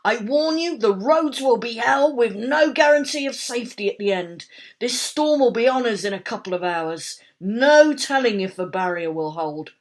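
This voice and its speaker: A woman voicing a commanding neighbour who shouts orders to a crowd before a flood. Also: mid-range voice, pitched above the performer's own voice, lightly acted.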